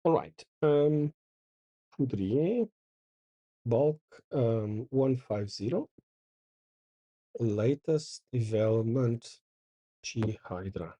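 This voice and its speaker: Slow, dark-voiced man. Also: medium pitch, expressive, slightly rough. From Porto, Portugal. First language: Portuguese. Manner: thinks aloud while reading out commands. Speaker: channel host. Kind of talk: casual live coding commentary.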